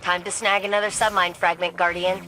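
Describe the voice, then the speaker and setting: A woman's voice: on the low side, little pitch movement, brisk, over music, close to an everyday voice, low-quality recording. An actress playing a confident, wisecracking female tech expert in a video game, talking casually and quippily. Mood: focused, wry. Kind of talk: scripted game dialogue.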